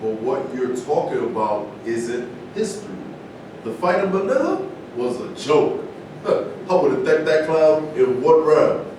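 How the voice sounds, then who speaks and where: A man's voice: a little high, sing-song, unhurried. A man reading the part of a boastful, defensive former boxer in a staged play, recorded in a noisy, echoing room from a distance. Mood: boastful, scornful. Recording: echoey noisy room, far from mic.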